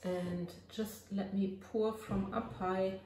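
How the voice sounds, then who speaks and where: A German woman with a German accent speaks slowly and gently, her voice low and mellow. She narrates her crafting in a hesitant way and stops now and then to search for an English word. The voice sits a bit away from the mic in a roomy space. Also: little pitch movement, far from the mic, a little hiss or hum. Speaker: resin art crafter.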